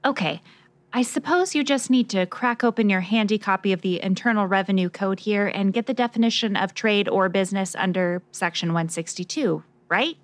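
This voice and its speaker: A woman acts out a sharp investigative reporter in a noir radio drama. She is sassy and slangy, with punchy, wry delivery at a middle pitch in a dry studio sound. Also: moderate pace, dry recording, natural voice. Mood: probing.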